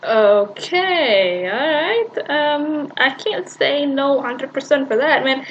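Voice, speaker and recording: A woman's voice: high-pitched, expressive, takes it slowly, highs cut off, faint music behind. A woman reacting to a video she is playing, arguing back at it in an exasperated, rambling way with sighs and restarts.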